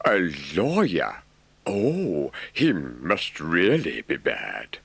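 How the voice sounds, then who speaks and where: A man's voice: deep voice, wildly swooping pitch, very slow, creaky, fairly bright tone, slightly rough, dry recording, heavily acted. A man voicing a kindly, earnest rat, a loyal friend, in an audiobook. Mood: concerned. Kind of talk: audiobook character voice.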